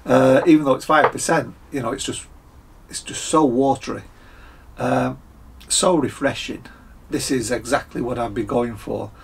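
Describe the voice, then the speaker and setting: A Scottish man in his fifties with a croaky voice, chatting casually through a beer review at his desk, with frequent fillers and throat clearing. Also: medium pitch, moderate pace, slight background noise. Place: home workshop, indoors, small room.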